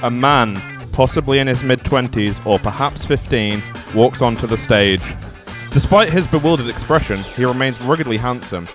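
A man reads comic stage directions aloud from a script. His pitch is average for a man, and guitar music plays clearly behind him. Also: moderate pace. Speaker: audience member reading stage directions.

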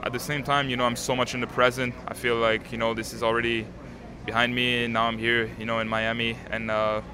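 An eighteen-year-old man from Canada, a native French speaker, answering interview questions outdoors in a calm, composed way with many fillers. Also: mid-range voice, a little fast. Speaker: tennis player, interviewee. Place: outdoors at a tennis stadium. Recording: crowd noise behind.

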